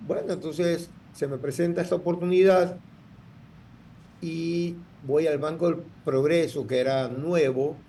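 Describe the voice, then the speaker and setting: Man in his sixties, mellow voice. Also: fairly high pitch, steady tone, a little slow, slight background noise. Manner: rambling, full of restarts and fillers. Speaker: guest entrepreneur. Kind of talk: storytelling interview.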